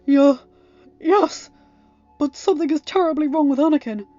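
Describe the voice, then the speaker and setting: A woman's voice: high voice, moderate pace, warm tone, lo-fi sound, faint music behind, pitched above the performer's own voice, lightly acted. A woman voicing an urgent, commanding male warrior-monk general in an audiobook, calling out emphatically. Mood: alarmed.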